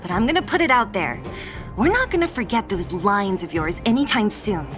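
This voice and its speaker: A woman's voice: medium pitch, very animated, moderate pace, over music, close to an everyday voice. A young woman playing a no-nonsense, skeptical fighter in a video game, speaking in a blunt, exasperated and direct way. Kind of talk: animated video game voice acting.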